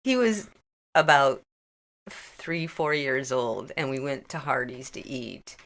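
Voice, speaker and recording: A woman's voice: extremely low pitch, animated, slow pace, a bit bright. A middle-aged woman chatting casually as a guest on a podcast. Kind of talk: casual chat.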